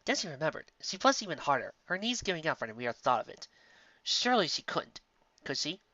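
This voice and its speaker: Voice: medium pitch, big pitch swings, fairly quick, pushed and bright, dry recording, muffled recording. A narrator reading a scripted story expressively. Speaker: narrator, fan fiction reader.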